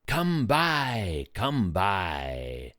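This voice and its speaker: Sly goblin merchants hawk their fruit in a very low, slow, sing-song chant that swoops widely in pitch, as a man plays them. It is a dry recording, with no room sound at all. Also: huge pitch swings, fairly bright tone, no echo, heavily acted. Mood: enticing. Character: chorus of sly male goblin fruit-sellers.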